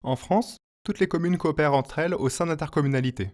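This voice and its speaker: A man reading a scripted informational narration as an explainer, in a clear, didactic manner. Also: fairly high pitch, quick pace, a little mellow.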